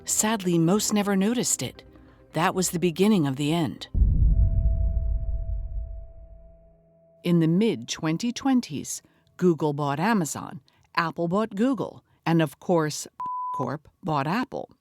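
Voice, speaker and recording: A woman's voice: lowish voice, expressive, a little slow, darkish tone, faint music behind. A woman playing an earnest investigative podcast host, delivering scripted narration in a mock documentary audio drama. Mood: grave.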